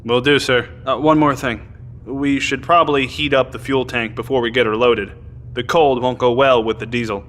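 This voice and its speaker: A man's voice: medium pitch, steady tone, normal speed, fairly bright tone, lightly acted. An actor playing a deferential, dutiful male soldier with a dry wit in a naturalistic audio drama. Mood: businesslike.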